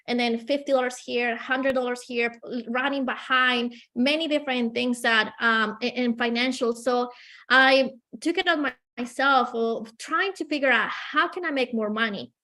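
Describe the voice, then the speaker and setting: Colombian woman guest, spanish accent, high voice, energetic and earnest, lots of ums. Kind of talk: casual interview storytelling.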